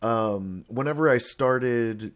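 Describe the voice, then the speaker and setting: A man's voice: medium pitch, slow pace. A young man hosting a casual podcast chat. He rambles, often restarts his sentences and laughs between thoughts. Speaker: podcast host.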